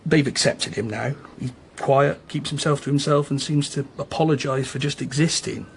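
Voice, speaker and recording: A man's voice: mid-range voice, steady tone, moderate pace, vocal fry, a little hiss or hum, lightly acted. A man playing a candid, chatty small-town police chief in a radio drama, conversational and slightly hesitant. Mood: earnest, confiding. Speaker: actor in a radio crime drama. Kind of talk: naturalistic radio drama dialogue.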